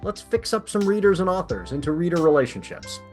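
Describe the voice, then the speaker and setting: A man's voice: high-pitched, expressive, brisk, a little mellow. Casual male podcast host with faint music. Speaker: podcast host interviewing an author. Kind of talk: casual chat.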